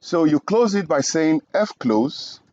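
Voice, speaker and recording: A man's voice: fairly high pitch, lively intonation, slow, darkish tone, no echo, lo-fi sound. A young man from Nigeria teaching programming in a screen-recorded tutorial, explaining each step and checking in with "okay?". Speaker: programming instructor.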